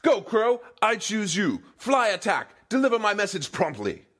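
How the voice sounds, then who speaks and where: Man acting a pompous, wildly animated petulant king. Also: sits high, normal speed, pitched above the performer's own voice, full character voice. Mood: excited, commanding. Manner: pompous, blustering, theatrically indignant. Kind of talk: comedic fantasy audio drama.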